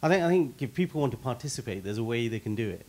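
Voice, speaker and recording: A man's voice: medium pitch, lively intonation, racing, darkish tone. A middle-aged man on a panel, talking expansively into a handheld mic and stacking examples, often repeating a word as he starts. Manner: expansive, repeats words when starting, gives lists of examples. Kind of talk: panel discussion answer. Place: stage in a meeting hall with audience.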